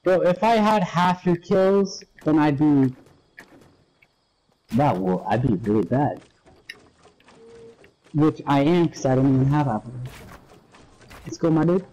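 A man streaming a shooter game, cursing and trash-talking excitedly in casual voice chat with his squad. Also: a little high, a little slow, pauses often, low-quality recording. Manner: crude, profane, excitable trash talk.

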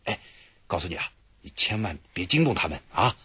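An actor playing a cheerful, boastful detective leading a stakeout in a radio drama, with a husky voice. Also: male voice, low voice, sing-song, fairly quick, sharp tone, no room sound, lo-fi sound, exaggerated voice. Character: cheerful, boastful middle-aged male police detective. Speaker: police detective leading the operation. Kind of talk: broadcast radio drama acting.

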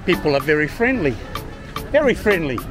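A man's voice: on the high side, sing-song, fairly quick, over music, a crowd in the background. A man chatting casually and slangily to viewers and passers-by as a friendly vlogger outdoors. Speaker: vlogger and street interviewer. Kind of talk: casual vlog chat and street interviews. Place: outdoors at a busy beach promenade.

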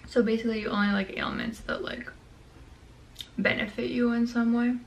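A young woman with a crackly, gravelly voice chats to camera from a small bedroom, nibbling ice cream between sentences. Her voice sits a little high, and a faint hum of room noise sits under it. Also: moderate pace. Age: young adult. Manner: chatty, eating ice cream while talking. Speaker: vlogger. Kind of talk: casual chat to camera.

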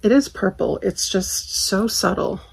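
A husky woman in her forties who is losing her voice, with a gravelly catch under her words. She talks slowly and candidly, sprinkling in ums and small laughs as she reviews her purchases. Recorded at home in a bedroom on a camera set at desk distance. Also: medium pitch. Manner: chatty, candid, frequent ums and little laughs. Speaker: haul vlogger. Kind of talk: casual product review chat.

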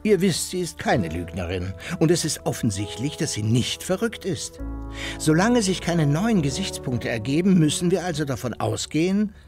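Lively, logical professor. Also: male voice, fairly high pitch, faint music behind. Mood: reasoning. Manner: deliberate, rhetorical. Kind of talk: German audio drama acting.

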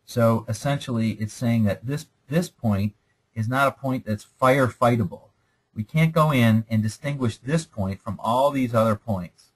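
Husky-voiced man giving a lecture. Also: medium pitch, moderate pace, low-quality recording. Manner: explanatory, conversational, with frequent "okay?" checks. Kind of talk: recorded slide lecture.

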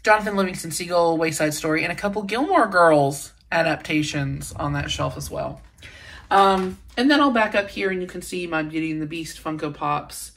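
A woman's voice: fairly low pitch, a bit bright. A young American woman with a slight cold, chatting informally as she gives a casual shelf tour for her vlog. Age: young adult. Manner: chatty, informal, uses slang. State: sick with a sniffle. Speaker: book vlogger and channel host. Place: indoors in a home library room.

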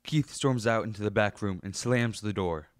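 A low-pitched male narrator acted by a man tells a radio murder mystery as the killer himself. The voice is deep and recorded dry, with scripted storytelling delivery. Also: moderate pace, dry recording, natural voice. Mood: grim storytelling.

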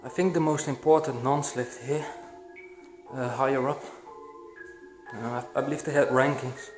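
A man's voice: medium pitch, moderate pace, music in the background. Young Belgian man exploring with a camera. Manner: excited, hushed, with frequent fillers. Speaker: urban explorer vlogger. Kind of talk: casual vlog narration. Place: abandoned building, echoey halls and attic. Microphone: action camera, handheld or body-worn.

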